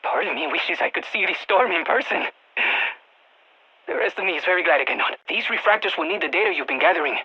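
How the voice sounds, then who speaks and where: A man's voice: high-pitched, lively intonation, fast, bright, forceful tone, slightly rough, highs cut off, full character voice. A man playing an eager, geeky field scientist in a video game, giving precise technical briefings to soldiers over radio comms. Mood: informative, eager. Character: excitable nerdy male field scientist guiding soldiers by radio. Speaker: mission-support scientist character in a shooter game.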